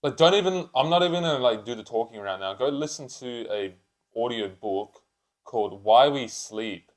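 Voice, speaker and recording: A man's voice: mid-range voice, lively intonation, normal speed. A man in his twenties chatting casually and unscripted as a podcast co-host, with blokey, sweary, slangy talk. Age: 20s.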